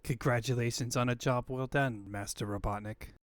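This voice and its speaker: A man's voice: mid-range voice, steady tone, moderate pace, clean tone, no echo, close to an everyday voice. A man voicing a servile, sly henchman to a villain in a comic book fandub. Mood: fawning. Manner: obsequious, servile.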